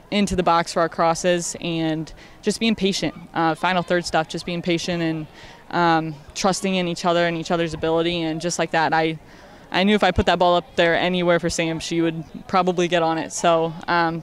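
A woman's voice: lowish voice, little pitch movement, fairly quick, a little hiss or hum. A young woman midfielder speaking candidly in a post-game interview, outdoors at a stadium at night into a handheld mic. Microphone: handheld mic held at chin.

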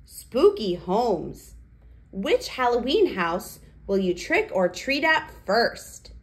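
Slow, lilting woman reading to toddlers. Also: fairly high pitch, big pitch swings. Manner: sing-song, simple, deliberate phrasing with questions. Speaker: children's read-aloud host. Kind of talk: read-aloud for toddlers.

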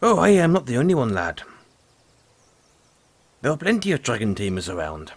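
A man playing a gruff but friendly forest dragon tamer, talking in a folksy way straight to the listener in an audio roleplay. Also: medium pitch, no echo. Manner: folksy, teasing, calls the listener lad.

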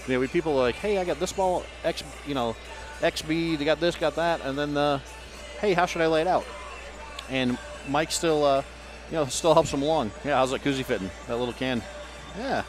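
A man in casual booth chat, talking in a rambling, upbeat way with many fillers, on a headset mic. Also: mid-range voice. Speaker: guest company rep. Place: busy trade show hall.

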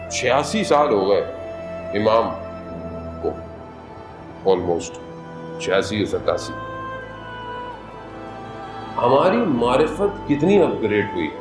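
A man giving a majlis sermon as a Shia cleric, moving from conversational preaching into impassioned, high-pitched appeals. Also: big pitch swings, takes it slowly, pauses often. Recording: echoey hall with music underneath.

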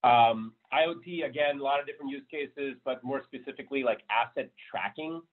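A man's voice: mid-range voice, fairly quick. A man giving a casual technical presentation on a video call, loose and rambling, with many fillers. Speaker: meetup presenter. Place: home room on a video call. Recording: thin phone-like call audio.

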